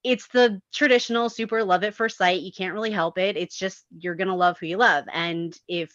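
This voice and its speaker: A woman's voice: mid-range voice, fairly even intonation, fairly quick, fairly bright tone, dry recording, lo-fi sound. A woman in a casual group discussion, talking at length as a chatty, emphatic explainer. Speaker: panelist discussing a film and its source book.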